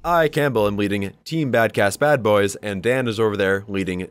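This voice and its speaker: A man's voice: on the low side, moderate pace, smooth, dry recording. A man co-hosting a podcast in casual, joking, sweary banter. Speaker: podcast co-host. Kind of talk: casual banter about tabletop wargaming.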